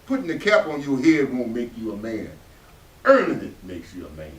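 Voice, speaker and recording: A man playing a proud, gruff working-class father, carrying old grief, in a staged play reading. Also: mid-range voice, sing-song, normal speed, lightly acted. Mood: gruff, teasing. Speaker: actor in readers theatre. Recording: echoey room from far off.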